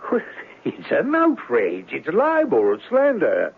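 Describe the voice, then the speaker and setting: A man's voice: on the high side, huge pitch swings, slow, gravelly, breathy edge, full character voice. A man playing a flamboyant, eccentric rich man of mystery in a 1970s radio drama, grandiose and exclamatory, boastful and theatrical. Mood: theatrical indignation, curious. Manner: grandiose, exclamatory, foreign phrases mixed into English. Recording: lo-fi noisy 1970s radio broadcast.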